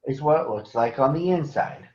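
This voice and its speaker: A man voicing a patient female grizzly bear doctor from a storybook, explaining things in a deliberate, encouraging way. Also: a little high, darkish tone, lightly acted. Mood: instructive. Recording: echoey room, slightly dull sound.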